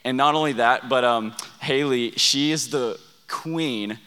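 Hyped young pastor in a reverberant hall. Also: male voice, medium pitch, measured pace, sharp tone, clean tone. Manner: hyped, calls for crowd responses. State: losing his voice. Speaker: youth camp pastor. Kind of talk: energetic youth sermon.

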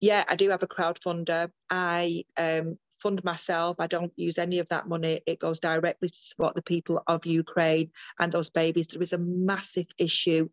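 An older woman from the UK speaks in a low, fairly flat voice, with frequent ums and restarts as she talks through her midwifery work. The sound is dry and a little dull, like a call recording. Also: moderate pace, hardly any pauses, no room sound. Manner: earnest, many restarts and ums. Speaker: guest midwife. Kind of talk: interview over a call.

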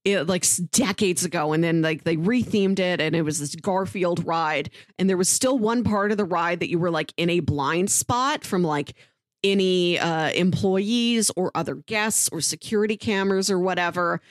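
A woman's voice: lowish voice, normal speed, dry recording, studio quality. A woman co-hosting a podcast, giving recommendations in a chatty, informal way with casual asides. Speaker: podcast co-host.

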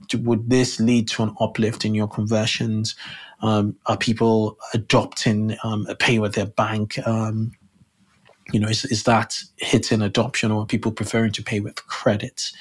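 A man hosting a casual podcast interview, talking in a rambling way with many fillers and restarts. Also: lowish voice. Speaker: podcast host.

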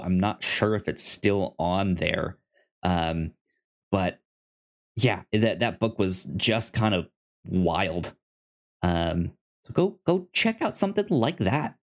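A man co-hosting a film podcast, talking casually and off the cuff, stammering and repeating the starts of words. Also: low voice. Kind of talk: casual, unscripted recommendations.

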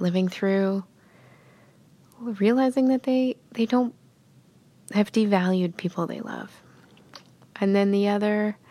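A woman leaving an informal, reflective message as a caller, hesitant and pausing to think. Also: medium pitch, darkish tone. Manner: hesitant, thoughtful pauses. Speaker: voicemail caller.

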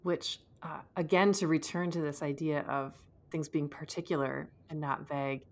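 A woman interviewing on a podcast, putting thoughtful questions together in careful, measured short phrases. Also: deep voice, steady tone.

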